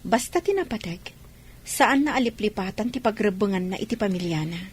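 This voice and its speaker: A woman's voice: medium pitch, lively intonation, brisk, lightly acted. An actress with a Filipino accent playing a weary, hurt wife and mother in a radio drama. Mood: weary, aggrieved. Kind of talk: Ilocano radio drama acting.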